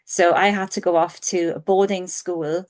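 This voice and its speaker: A woman's voice: fairly low pitch, fairly even intonation, moderate pace. A Welsh woman in her forties, an author, answering interview questions in an earnest, thoughtful way with frequent ums. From Wales. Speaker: author guest.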